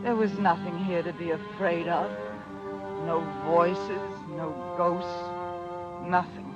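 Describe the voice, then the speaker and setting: Grieving widow for vintage radio thriller: breathless, anxious narration and dialogue, music underneath. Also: female voice, fairly low pitch, flat delivery, slow, lo-fi sound. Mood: haunted, weary. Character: grieving, lovesick, anxious newly widowed woman. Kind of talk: old-time radio drama acting with first-person narration. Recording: old radio recording with music. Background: dramatic music.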